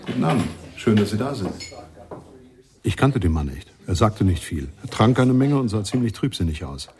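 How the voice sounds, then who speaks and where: A man's voice: on the low side, very animated, normal speed, a little mellow. An actor playing a thoughtful jazz witness in a radio play, reflective and often correcting himself as he searches for words.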